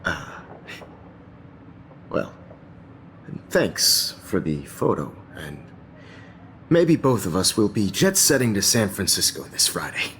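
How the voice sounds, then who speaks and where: A man's voice: low voice, very animated, slow, long pauses, a bit bright, a little hiss or hum, exaggerated voice. A man voicing a middle-aged art teacher in a video game who hesitates and stammers, mild-mannered but secretly sinister. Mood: flustered.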